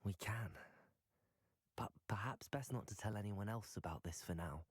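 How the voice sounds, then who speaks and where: An actor playing a thoughtful, discreet teenage wizard student in a video game, with a measured, earnest delivery. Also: male voice, lowish voice, breathy edge, no room sound, studio quality. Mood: earnest, guarded. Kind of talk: video game cutscene acting.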